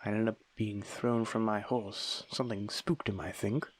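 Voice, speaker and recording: A man playing a lonely, young-looking aristocratic vampire in an intimate audio roleplay, guarded yet tender, with formal old-fashioned wording and a soft breathy delivery. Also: fairly low pitch, steady tone, moderate pace, a little hiss or hum, natural voice. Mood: wistful, bitter. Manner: formal, old-fashioned wording, hesitant stammers when moved. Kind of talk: ASMR audio roleplay, intimate dramatic monologue.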